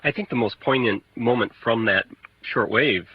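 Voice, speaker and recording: A man's voice: mid-range voice, moderate pace, husky, no echo. A man hosting a radio magazine program and interviewing a guest. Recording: dull, slightly noisy radio recording.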